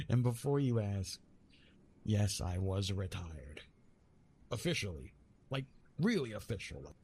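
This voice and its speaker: Man acting as retired god of love, ASMR roleplay, slow pace, wry and weary, theatrical grumbling, halting repeats, slight background noise. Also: fairly low pitch, very animated, a little mellow, full character voice. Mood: bemused grumbling.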